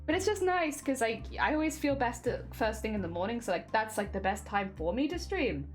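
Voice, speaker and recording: A woman's voice: fairly high pitch, sing-song, a little fast, soft background music. A young woman chatting casually on a craft stream while sewing, rambling with lots of fillers and a slight winter cough.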